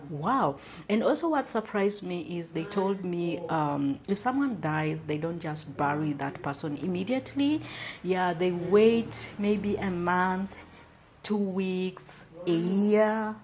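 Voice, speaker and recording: A woman's voice: on the low side, lively intonation, slow, a little mellow, poor audio. A young woman from Uganda talking casually to her viewers about her travels, with lots of fillers and asides to "guys". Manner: chatty, many fillers, addresses viewers as guys. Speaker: travel vlogger. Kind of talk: casual chat to camera.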